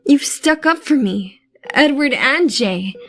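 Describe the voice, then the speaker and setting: Woman acting as a loyal pushy teen girl, highish voice, really takes her time, audio drama. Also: expressive, takes it slowly, fairly bright tone, heavily acted. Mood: earnest, encouraging. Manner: earnest, pressing. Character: loyal, insistent, sharp-witted teenage girl schoolmate. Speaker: voice actor.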